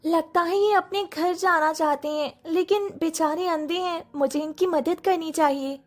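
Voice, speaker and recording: A woman voicing a kind, earnest young village wife in an animated moral story. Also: very high voice, normal speed. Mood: gentle, concerned.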